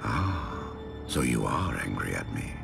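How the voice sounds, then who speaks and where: Ancient alien commander from a game cutscene, super deep n solemn, very expressive, big music behind. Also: male voice, a little slow, vocal fry, exaggerated voice. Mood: tender, assured. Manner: solemn, formal, oratorical. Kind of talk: game cinematic acting.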